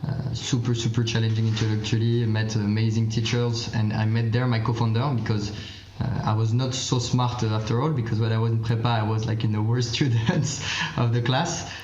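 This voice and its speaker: A man's voice: fairly low pitch, flat delivery, brisk, a little mellow, reverberant, muffled recording, a little hiss or hum. A man in his late twenties from France with a French accent, answering interview questions in English with many fillers and restarts. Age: 20s. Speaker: startup founder and guest.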